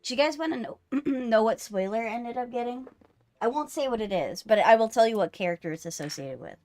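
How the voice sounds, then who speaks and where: Casting: female game streamer, fairly high voice, teasing casual chat over soft music. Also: animated, fairly quick. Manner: playful, sweary, teasing. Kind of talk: casual banter while live streaming a visual novel. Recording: music playing behind the voice.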